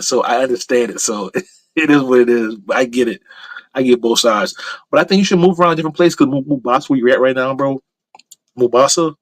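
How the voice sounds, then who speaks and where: A man in an easygoing call-in chat, mid-pitched and loose, full of slang and filler phrases. His voice is recorded close and dry, with no room sound around it. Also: no room sound, rough recording. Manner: loose, slangy talk with frequent "bro" and "you know what I'm saying". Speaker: travel show host. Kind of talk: casual call-in show chat.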